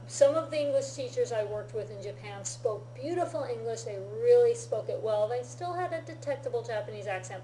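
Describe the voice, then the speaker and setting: A woman teaching a Japanese lesson, explaining patiently in an unhurried, easygoing way. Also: on the high side, warm tone, a little hiss or hum. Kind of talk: relaxed classroom-style lesson. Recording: echoey room.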